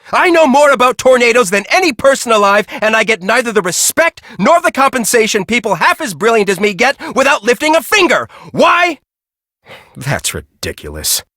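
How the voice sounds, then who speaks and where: A man's voice: extremely high pitch, bright, forceful tone, no room sound, studio quality, heavily acted. An actor playing a bitter, arrogant middle-aged male scientist in a video game, with a sardonic, condescending, theatrical way of talking. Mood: dismissive. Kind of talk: video game character acting.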